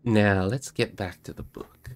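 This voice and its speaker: A man with a creaky voice, hosting a livestream where he chats casually and reads a book aloud expressively. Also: lowish voice, fairly even intonation, measured pace. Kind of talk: casual livestream chat and expressive storybook narration.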